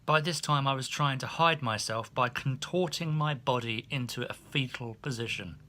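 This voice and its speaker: A man reading a humorous first-person memoir as an audiobook, in an expressive storytelling manner. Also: medium pitch, normal speed. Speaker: audiobook narrator.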